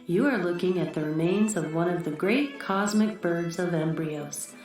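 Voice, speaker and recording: An actress playing a solemn recorded museum guide, telling a myth in scripted storytelling style. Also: female voice, on the low side, moderate pace, darkish tone, lightly acted. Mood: solemn, mythic. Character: solemn recorded female museum exhibit narrator. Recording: heavily echoing, music underneath.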